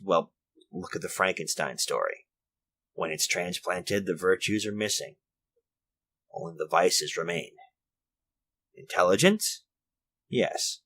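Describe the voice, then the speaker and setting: Male actor as troubled 1940s radio-thriller protagonist: low, hard-edged voice, theatrical rhetorical questions, halting and morbidly anxious. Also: pushed and bright, heavily acted. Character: anxious, morbidly argumentative man confessing to an unseen judge. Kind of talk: retro 1940s radio drama acting.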